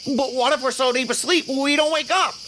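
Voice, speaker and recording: A woman's voice: sits high, a little fast, close to an everyday voice. A woman playing a squeamish, fussy, anxious space traveler in an audio drama, whiny and hesitant. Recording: insect-filled jungle noise behind.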